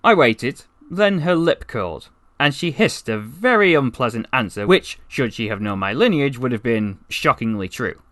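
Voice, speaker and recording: A man's voice: medium pitch, lively intonation, moderate pace, hardly any pauses, a bit bright, no echo, close to an everyday voice. A man voicing a chatty, wry, besotted half-alien narrator who tells his comic story conversationally, with rambling asides. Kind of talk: first-person comic story narration, voice acting practice.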